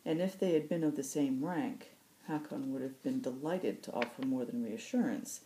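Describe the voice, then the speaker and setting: Very deep-voiced woman narrating fiction. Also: moderate pace, mellow, slight background noise, low-quality recording. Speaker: host reading book excerpts. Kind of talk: audiobook-style fiction narration.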